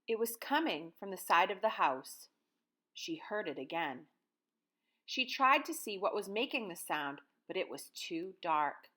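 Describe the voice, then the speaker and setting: A woman's voice: fairly low pitch, expressive, unhurried, sharp tone. An American woman in her thirties, a teacher reading and talking to young children in simple, guiding phrases. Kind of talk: picture-book read-aloud and lesson for children.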